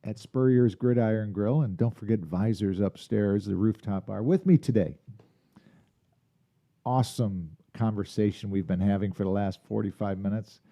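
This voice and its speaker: A man's voice: fairly low pitch, moderate pace, dark tone, highs cut off. A man hosting a live podcast interview from a podcast room in a restaurant, chatty and rambling with restarts. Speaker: podcast host.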